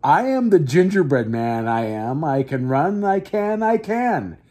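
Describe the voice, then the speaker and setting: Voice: medium pitch, sing-song, unhurried, warm tone. A storyteller voicing a small boastful gingerbread man who chants his taunts in a sing-song.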